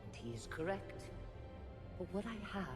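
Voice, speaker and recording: An actress playing an ancient female alien spirit in a video game, solemn, stately and measured. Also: medium pitch, sing-song, music in the background, close to an everyday voice. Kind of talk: cinematic game acting.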